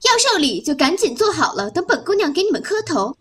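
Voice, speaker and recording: A woman acting a haughty, sharp-tongued, impatient young bride in an audio drama. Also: very high-pitched, sing-song, fairly quick, noisy background. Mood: indignant, haughty.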